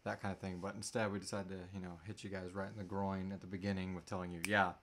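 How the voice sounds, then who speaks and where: A man's voice: deep voice, level tone, fast, a bit bright, slight background noise. A man in his thirties chatting casually and jokingly as co-host of a live Q&A. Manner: jokey, playful. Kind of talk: casual chat. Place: small room indoors, on a couch.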